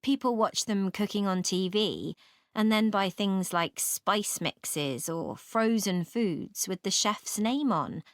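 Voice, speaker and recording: A woman playing a student in a scripted, measured discussion, giving her opinions deliberately. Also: mid-range voice, no echo, clean studio sound. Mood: opinionated. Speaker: voice actor in a listening exam dialogue.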